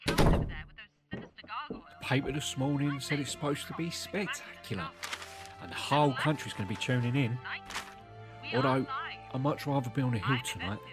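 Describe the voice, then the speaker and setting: Man playing an old granddad, grumbling, blunt, very lively intonation, audio drama, music behind. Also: mid-range voice, normal speed, long pauses, close to an everyday voice. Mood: wistful. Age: older. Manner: colloquial, blunt, dismissive. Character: grumpy, stubborn old grandfather who believes in aliens.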